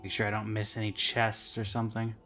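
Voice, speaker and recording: A man's voice: fairly low pitch, monotone, brisk, pushed and bright. A man giving casual, offhand commentary as he plays a game, thinking aloud and reading dialogue. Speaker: gaming reaction streamer. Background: game music.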